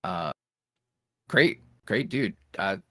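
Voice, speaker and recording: Animated man with a lively voice. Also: on the low side, extremely slow, dry recording, clean studio sound. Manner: loose and animated, trailing off and restarting, laughing between thoughts. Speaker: ASMR roleplay creator, guest. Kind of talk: casual interview chat.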